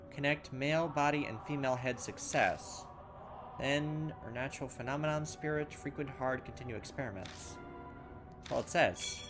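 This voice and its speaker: A man playing a horror game and reading its text aloud, thinking aloud and making fun of his own mistakes. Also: mid-range voice. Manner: self-mocking, thinks aloud, loses his way. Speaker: let's play gamer.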